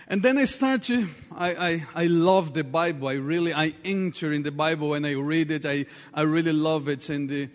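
High-voiced Portuguese-accented male preacher. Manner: earnest, halting non-native English with repetitions. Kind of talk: sermon. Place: large reverberant church room. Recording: reverberant hall with long echo.

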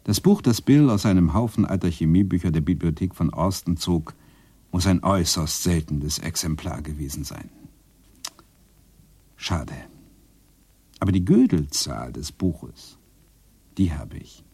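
A man's voice: low-pitched, a little slow, long pauses, mellow, slightly rough, no echo, exaggerated voice. An actor playing a wistful, bookish man who recounts his past in a reflective, literary way in a radio play. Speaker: actor playing the first-person narrator.

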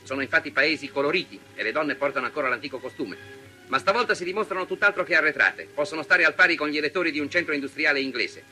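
A man's voice: on the high side, animated, quick pace, sharp tone, soft background music. A man narrating a 1940s Italian newsreel in a formal, declamatory, scripted style on a vintage soundtrack.

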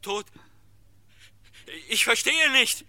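A nervous man phones in an old radio crime play. His voice comes through the line very bright and sharp, urgent and halting. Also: very high-pitched, heavily acted. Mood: stunned. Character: anxious, urgent, stammering telephone caller. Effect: telephone voice. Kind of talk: 1950s-70s German radio crime play acting.

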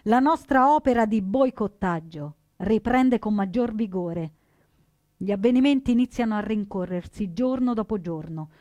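An earnest partisan woman voiced by an actress narrates a resistance drama. Her voice sits at a middle pitch, with solemn, deliberate phrasing suited to radio theatre. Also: animated, warm tone, no room sound, natural voice. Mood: solemn resolve.

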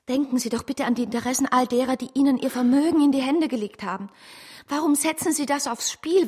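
A woman's voice: sits high, slightly rough. An actress playing an earnest, principled woman with a pleading manner in a German radio drama.

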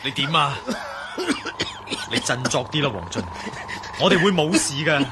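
A man's voice: on the high side, lively intonation, normal speed. A man acting an alert, suspicious, decisive adventurer hero in a Cantonese radio drama, with an urgent, commanding manner. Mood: encouraging, excited. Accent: Cantonese, Hong Kong. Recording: faint background noise.

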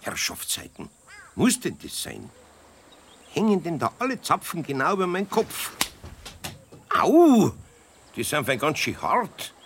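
A man playing a gruff but soft-hearted older master craftsman with a Bavarian accent, grumbling and muttering in a children's radio play. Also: fairly high pitch, wildly swooping pitch, unhurried, pauses often, exaggerated voice. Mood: annoyed. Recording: noisy 1970s radio play recording.

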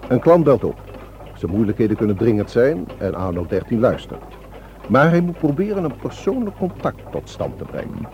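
A man as a mellow, courteous helpline volunteer. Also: medium pitch, big pitch swings, normal speed, natural voice. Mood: explanatory. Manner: polite, formal, principled. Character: courteous, firm male helpline volunteer guarding secrets. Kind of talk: radio drama acting. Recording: muffled, noisy old radio play recording.

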